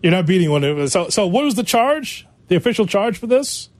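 A man's voice: high-pitched, sing-song, moderate pace, no room sound. A man hosting a sports radio show, joking, laughing often and prompting his co-hosts in casual banter.

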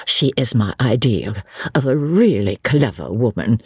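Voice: mid-range voice, big pitch swings, unhurried, husky, dry recording, studio quality, full character voice. A reader voicing an older spinster chaperone in an audiobook story, prim, fussy and earnestly admiring. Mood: earnest admiration.

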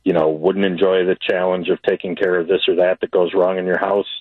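Over a narrow, tinny phone line, an American man speaks as a radio caller. His voice is deep and husky. He chats casually, hesitating and repeating himself as he goes. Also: level tone, a little fast, no echo. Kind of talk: casual chat. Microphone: telephone.

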